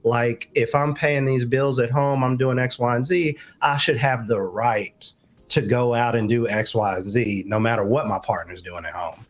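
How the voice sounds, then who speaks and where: A man's voice: medium pitch, moderate pace. A man speaking as a talk show guest on relationships, explaining his views in an informal, conversational way with asides.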